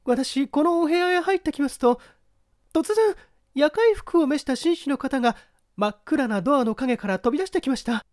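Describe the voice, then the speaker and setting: A man's voice: very high-pitched, expressive, normal speed, darkish tone, no room sound, clean studio sound, pitched above the performer's own voice. A man voicing a frightened young housemaid, polite and faltering, in a mystery story reading. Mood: shaken, faltering. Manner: faltering, polite feminine speech.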